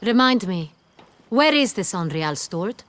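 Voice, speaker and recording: A woman playing an imperious noble duchess in a video game, formal and commanding, quick to threaten. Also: mid-range voice, big pitch swings, fairly bright tone. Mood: haughty, commanding. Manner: formal, commanding, royal diction. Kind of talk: dramatic video game acting.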